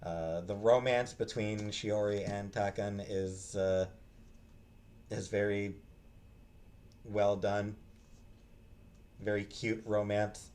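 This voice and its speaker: A man giving a casual, unscripted book review in a halting, hesitant way, often trailing off and restarting. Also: lowish voice, steady tone, a little mellow. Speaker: book reviewer, vlog host.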